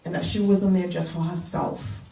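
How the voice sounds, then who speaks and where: A woman's voice: on the low side, fairly even intonation, gravelly, far from the mic, noisy background. Earnest Bahamas-born woman preacher, echoey room. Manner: earnest, halting with fillers and restarts. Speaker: keynote speaker and minister. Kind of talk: retreat keynote talk. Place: conference room. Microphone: handheld mic.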